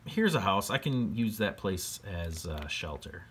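A man's voice: low-pitched, sing-song, a little slow, a bit bright, a little hiss or hum, full character voice. A man voicing a lonely young boy with a big imagination, reading from a picture book. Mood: hopeful.

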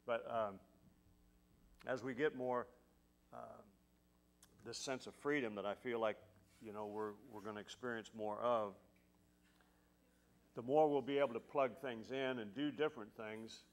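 A man's voice: medium pitch, normal speed. A middle-aged man leading a casual church service from the pulpit, folksy and peppered with hallelujahs and praise the Lords. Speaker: pastor. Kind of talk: casual sermon and prayer time. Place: large church sanctuary. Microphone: podium mic.

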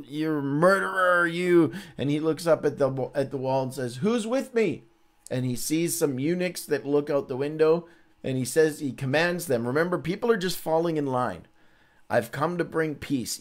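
Man reading scripture aloud with casual commentary. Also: fairly high pitch. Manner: informal, dramatizes quoted speech. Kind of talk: animated Bible read-along with casual commentary.

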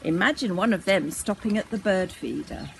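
A woman's voice: medium pitch, lively intonation, normal speed, vocal fry, a little hiss or hum, low-quality recording. Woman playing elderly grandmother, storybook, curious, good-humoured, agreeable, very varied intonation.

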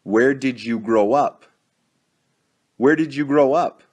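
A man teaching English, reading each drill sentence twice in a deliberate way. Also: medium pitch. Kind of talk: slow, repeated drill sentences for learners.

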